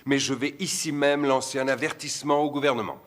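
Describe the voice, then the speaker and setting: A man's voice: mid-range voice, steady tone, brisk, long reverb tail, close to an everyday voice. A man playing a state governor in a dubbed film, speaking with insistence and urgency. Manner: pleading, insistent.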